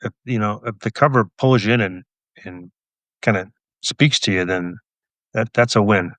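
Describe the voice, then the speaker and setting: A middle-aged man, an author being interviewed, chatting casually in an understated, measured way. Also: lowish voice, a bit bright, no room sound. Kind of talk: casual chat.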